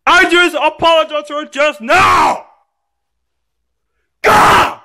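A man voicing a rude, whiny young boy in a homemade puppet-style story, yelling in high bursts. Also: wildly swooping pitch, moderate pace, exaggerated voice. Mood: angry, defiant. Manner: whiny, defiant, yelling outbursts.

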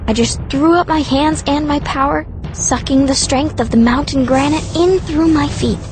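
A woman with a fairly high voice narrates a fantasy audiobook. She tells the story in the first person as a fierce young girl mage, with music faintly behind her. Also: moderate pace.

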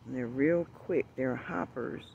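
A man filming in a backyard, talking slowly and gently, often dropping to a hushed voice. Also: mid-range voice, creaky, muffled recording, slight background noise. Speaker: birdwatching vlogger. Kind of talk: casual outdoor narration while filming. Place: outdoors in a backyard among bushes. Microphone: handheld phone camera.

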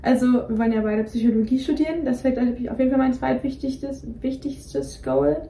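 Young female co-host, mellow voice, rambly casual delivery, roomy distant sound. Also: sits high, expressive, fairly quick. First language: Greek is partly her home language. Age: young adult. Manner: rambling, many fillers and restarts. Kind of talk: casual chat. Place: dim bedroom, indoors. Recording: roomy echo, voice somewhat distant from mic.

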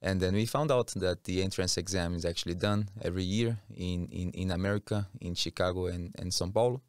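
A man's voice: low voice, no room sound. A man in his thirties with a Brazilian accent, chatting casually as a guest on a podcast, close on a studio mic. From Rio, Brazil. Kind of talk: casual interview talk. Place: small curtained podcast studio. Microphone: close studio mic on boom arm.

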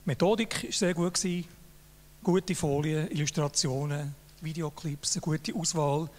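A man's voice: fairly high pitch, fairly even intonation, moderate pace, darkish tone. An older man with a Swiss German accent, speaking into a handheld mic on a stage in a large hall, presenting a prize in an informal, praising way. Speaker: jury member presenting an award. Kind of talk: Swiss German laudatory speech. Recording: hall reverb.

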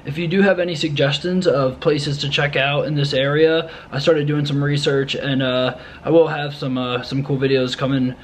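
A man's voice: fairly high pitch, normal speed, hardly any pauses, slight background noise. Young man vlogging casually on a trail. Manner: relaxed, uncertain, many fillers. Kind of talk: casual vlog walkthrough. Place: wooded trail outdoors, then apartment living room.

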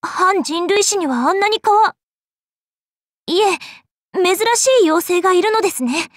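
A woman's voice: very high voice, unhurried, no room sound, clean studio sound. A woman playing a polite, earnest, easily startled young witch girl in an anime game. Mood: flustered surprise.

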